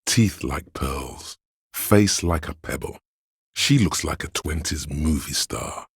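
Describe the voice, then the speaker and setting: An actor voicing a seductive, hedonistic inner voice of addiction and lust in a video game, savoring words in a husky, insinuating way. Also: male voice, animated, takes it slowly, no room sound, studio quality, exaggerated voice. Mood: lustful, savoring. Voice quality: deep, gravelly, husky. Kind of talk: video game character narration.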